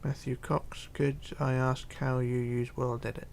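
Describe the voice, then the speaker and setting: A man hosting a casual livestream, reading chat aloud and chatting in a rambling, halting way. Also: medium pitch, level tone, gravelly, a little mellow, slight background noise. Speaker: Minecraft livestreamer.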